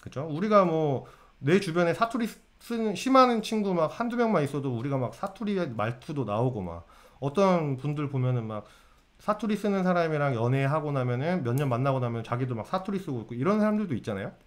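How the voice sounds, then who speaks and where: Lively man lecturing on fortune. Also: on the high side, brisk. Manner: lively, asks the audience questions and answers himself. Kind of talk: casual lecture to viewers.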